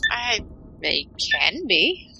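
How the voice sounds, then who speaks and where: An actress playing a casual, cheeky tech scout on a mercenary team, informal and a little hesitant, in an audio drama. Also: female voice, mid-range voice, sing-song, extremely slow, gravelly, bright, forceful tone, soft background music, full character voice, low-quality recording. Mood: uneasy.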